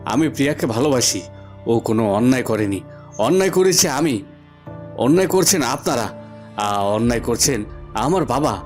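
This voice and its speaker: A man's voice: mid-range voice, lively intonation, moderate pace, long pauses, faint music behind, lightly acted. A man voicing an earnest, impassioned young lover, the son of a village headman, in a cartoon. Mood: resolute. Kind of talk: cartoon voice acting.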